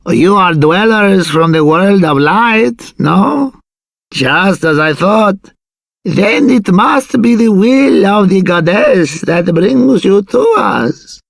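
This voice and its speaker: An actor playing a courteous, pious village leader who speaks formally and hospitably to strangers. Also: male voice, sits high, vocal fry, fairly bright tone, dry recording, full character voice. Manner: formal, measured.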